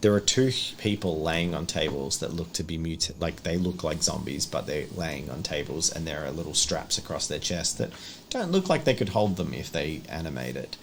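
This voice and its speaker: A man's voice: very deep voice, expressive, moderate pace, slight background noise. A man narrating a live tabletop horror game as game master and calling for rolls.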